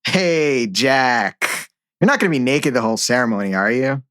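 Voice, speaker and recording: A man's voice: mid-range voice, animated, moderate pace, fairly bright tone, dry recording, natural voice. A man playing a young werewolf in an audio drama, a wryly annoyed friend with a dry, wary manner. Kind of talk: audio drama table read.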